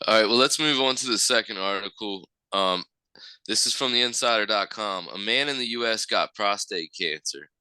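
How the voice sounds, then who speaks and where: Lowish, very bright, smooth man's voice. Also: no echo, low-quality recording. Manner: easygoing, reads aloud haltingly. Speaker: podcast co-host. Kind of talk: casual chat, reading a news article aloud.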